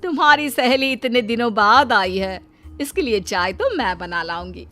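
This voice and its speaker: Woman playing a high-voiced, theatrical filmi mother-in-law. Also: lively intonation, moderate pace, fairly bright tone. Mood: sweetly insistent. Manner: grandly delivers filmi dialogue. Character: film-obsessed, theatrical, stubborn older mother-in-law. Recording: music under the voice.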